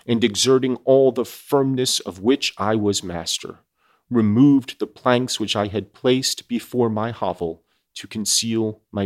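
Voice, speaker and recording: A man voicing an earnest, anguished outcast creature in a solemn, formal, measured storybook reading. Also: fairly low pitch. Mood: tense, determined.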